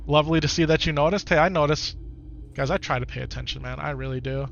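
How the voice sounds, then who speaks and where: A man's voice: fairly high pitch, fast, creaky, a bit bright, lo-fi sound, slight background noise. A man with an Upper Midwest American accent, chatting casually to his viewers during a live game stream and often repeating himself. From Wisconsin, United States. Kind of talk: casual live-stream chat over gameplay.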